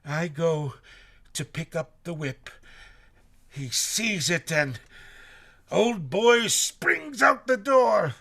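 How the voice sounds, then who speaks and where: A man playing a nervous, rough but honest sailor in a radio drama, stammering and deferential. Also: a little high, animated, drawn-out words, long pauses, sharp tone, full character voice. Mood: uneasy storytelling. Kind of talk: live radio drama acting.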